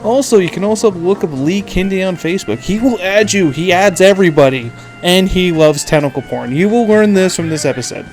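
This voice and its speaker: Higher-pitched man, rambling casual podcaster. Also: expressive, a little fast, hardly any pauses, faint music behind. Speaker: podcast host.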